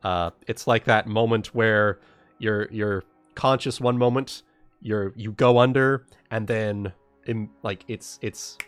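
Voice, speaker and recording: Slow-paced man as game master. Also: lowish voice, fairly even intonation, clean tone, soft background music. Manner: halting, repeats phrases while narrating. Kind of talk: improvised tabletop game narration.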